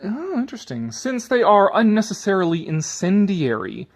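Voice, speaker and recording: A man's voice: high-pitched, sing-song, normal speed. A man giving a dramatic reading with sarcastic, exasperated asides and casual swearing, shifting between declaiming and offhand muttering. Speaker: commentator reading an article aloud.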